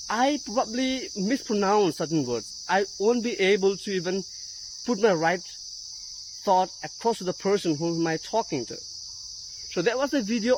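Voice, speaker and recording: A woman from India with a mixed Indian and American accent, an English teacher talking earnestly to camera with halting restarts. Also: mid-range voice. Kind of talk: casual talk to camera. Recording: loud insect and cricket noise behind. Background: loud crickets and insects.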